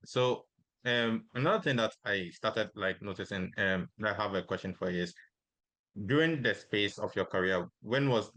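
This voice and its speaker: A male podcast host in casual chat, soft-spoken and quick with short agreements. His voice is low, and the recording is dry with no room sound. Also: no echo, poor audio.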